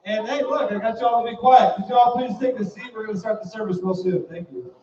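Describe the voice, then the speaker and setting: A loud-voiced man, son of the deceased, informally calling a gathered crowd to sit down before a memorial service. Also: sits high, a little fast. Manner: friendly, informal announcing. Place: large room at a memorial gathering. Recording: dull echoey room, mic far off, crowd noise.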